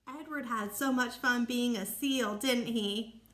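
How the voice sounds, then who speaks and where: High-voiced woman teacher talking to children. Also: takes it slowly, a bit bright. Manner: explanatory, asking questions. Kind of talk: talking to young children about a story.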